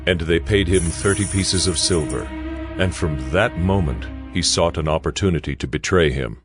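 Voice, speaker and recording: A man narrating scripture from a script in a measured, solemn way. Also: low-pitched. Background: music.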